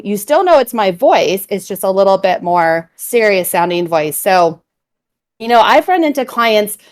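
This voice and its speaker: A woman from California explains vocal technique in a steady, mid-pitched voice. A little vocal fry colors her words, and she fills her pauses with "um" as she works through each point. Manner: fluent, explanatory, many "um"s. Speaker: voice coach.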